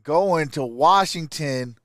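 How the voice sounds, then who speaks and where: A man's voice: on the high side, slow pace, fairly bright tone, dry recording, studio quality. A man hosting a live sports stream, giving casual, opinionated commentary and answering viewer comments.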